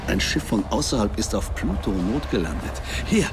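A man's voice: expressive, moderate pace. A man playing a nosy, jovial commuter in a radio drama, casual and forward. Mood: curious, gossipy. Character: chatty, nosy male commuter on a train. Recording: loud vehicle noise. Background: rumbling train ambience.

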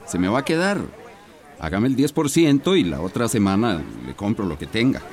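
Pushy low-voiced fish buyer. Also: male voice, sing-song, normal speed, a crowd in the background. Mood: haggling. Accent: Central American. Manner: pushy, dismissive, insinuating. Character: pushy, haggling fish buyer, sly and insinuating. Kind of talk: radio soap opera acting.

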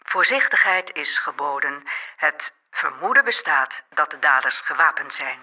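An actor playing a formal, impersonal newsreader reading a police bulletin over the radio. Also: male voice, a little high, expressive, unhurried, bright, forceful tone, exaggerated voice, poor audio. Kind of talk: formal scripted news reading.

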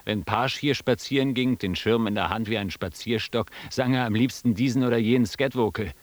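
An actor playing a wry, ironic first-person narrator looking back in a literary radio drama. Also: male voice, medium pitch, brisk, dry recording, lightly acted. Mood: wry, nostalgic. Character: male first-person narrator, wry reminiscing friend of Pasch.

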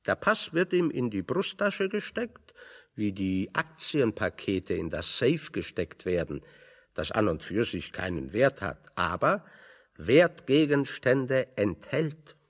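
A big, pedantic physicist in a radio play, with a lowish voice and long reverb around it. He sets out his dry irony very slowly, in ornate deadpan sentences. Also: full character voice. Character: big, fat, pedantic physicist, drily ironic. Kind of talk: German radio drama dialogue.

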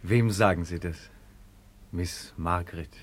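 An actor playing a polite, hesitant, smitten young police investigator in a 1950s German radio play, stammering a little. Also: male voice, sits low, measured pace, slight background noise. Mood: shy, flustered.

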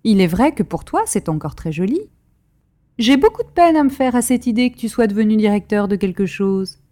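An actress playing a teasing, coquettish and curious wife of a prison director in an audio comedy. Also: female voice, mid-range voice, lively intonation, a little fast, warm tone, lightly acted. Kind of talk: French radio comedy acting.

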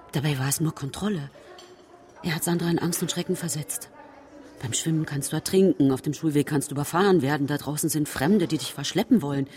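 A woman's voice: sits low, darkish tone, close to an everyday voice. A woman acting a worried middle-aged mother, bitter about her ex, in a naturalistic radio drama.

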